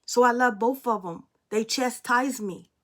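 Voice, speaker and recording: A young adult woman with a fairly high voice talks to camera as a spiritual reader. She is blunt and emphatic, with free swearing, in a loose, casual monologue. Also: unhurried, a bit bright. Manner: blunt, emphatic, freely profane.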